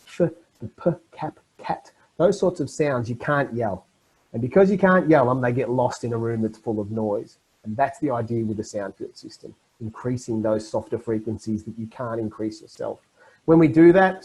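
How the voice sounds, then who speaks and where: A middle-aged man presenting a webinar to teachers over a video call, explaining in an animated way. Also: medium pitch, breathy edge, poor audio.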